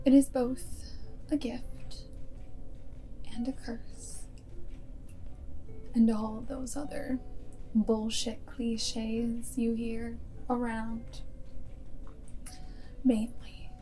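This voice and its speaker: A woman playing a guarded but secretly fond vampire girlfriend and wartime spy in a soft, whispered ASMR roleplay, speaking deliberately close to the microphone. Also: on the high side, low-quality recording. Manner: hushed, deliberate, often dropping into whisper.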